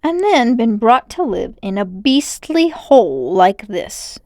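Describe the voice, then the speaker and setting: A woman voicing an excitable, brooding and adventurous schoolboy in a storybook, speaking emphatically. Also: a little high, slow pace, no room sound, studio quality, heavily acted. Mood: indignant, upset. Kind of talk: storybook character voice.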